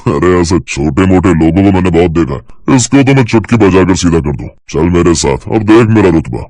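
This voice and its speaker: A man's voice: low voice, animated, moderate pace, darkish tone, dry recording, clean studio sound. A man playing a corrupt, boastful male police inspector who bullies and threatens people in a cartoon story. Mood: bullying. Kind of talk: Hindi cartoon story character voice.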